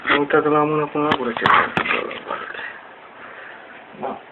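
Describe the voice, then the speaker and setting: A man in his thirties from Romania rambles haltingly through a casual, improvised exercise demonstration at home, swearing mildly. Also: a little high, steady tone. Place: small room at home. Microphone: webcam mic at a distance. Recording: tinny noisy webcam audio, distant mic.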